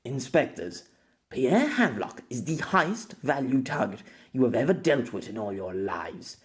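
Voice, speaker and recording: A man's voice: medium pitch, very animated, moderate pace, close to an everyday voice. A man voicing a stern, authoritative female doctor with a formal, impatient manner in a story. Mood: grave, insistent.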